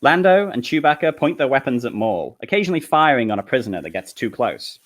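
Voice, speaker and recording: A man's voice: medium pitch, sing-song, fast. A man reading screenplay stage directions as narrator of a comedy table read, with an amused manner.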